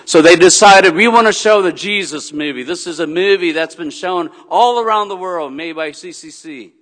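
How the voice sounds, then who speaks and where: A man's voice: sits high, moderate pace. Man telling stories in a reverberant church. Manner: rambling, warm storytelling with many fillers and restarts. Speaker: pastor. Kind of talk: church announcements and sermon. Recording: long hall reverb.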